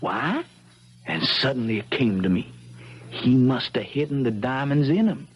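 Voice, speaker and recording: An actor playing an eager, clever young small-town lawyer hero in a 1970s radio drama, telling things in a folksy, theatrical way. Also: male voice, mid-range voice, expressive, a little slow, husky. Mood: explaining excitedly.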